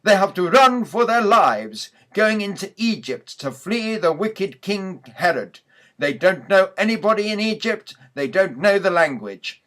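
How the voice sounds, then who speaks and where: A man's voice: sits high, big pitch swings, measured pace, fairly bright tone. A man reading a scripted mock news bulletin as the newsreader in a church sketch.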